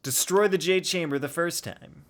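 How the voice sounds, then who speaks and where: A man's voice: on the high side, moderate pace, slight background noise. A man streaming a video game, giving casual running commentary as he plays. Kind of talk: casual live gameplay commentary. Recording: game music behind.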